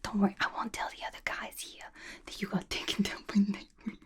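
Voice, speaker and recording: A woman voicing a scatterbrained, gossipy, clingy young female villain in a breathy, intimate ASMR whisper, chatty and playful with slangy grammar. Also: medium pitch, monotone, fairly quick, lightly acted. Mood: gossipy, teasing. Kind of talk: ASMR roleplay, whispered to the listener.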